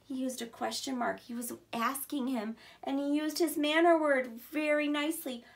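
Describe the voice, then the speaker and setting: A woman's voice: sits high, steady tone, normal speed, gravelly. A woman in her thirties reading aloud like a teacher to young children, explaining simply and expressively. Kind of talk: children's read-aloud with questions and commentary. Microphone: camera a short distance away.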